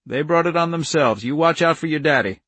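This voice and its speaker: A man voicing a plainspoken rural farmer in an audiobook, gruff but kindly, with folksy, urgent directness. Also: on the high side, a little fast, a bit bright, dry recording, voice pushed up. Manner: folksy, drops into rural idiom.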